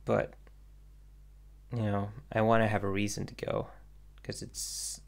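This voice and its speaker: Young swedish-born guy streaming, low gravelly voice, kinda flat, lots of ums. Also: a little slow. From Sweden. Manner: hesitant, many ums and restarts, soft-spoken. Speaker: independent animator streaming. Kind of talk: casual livestream chat answering questions.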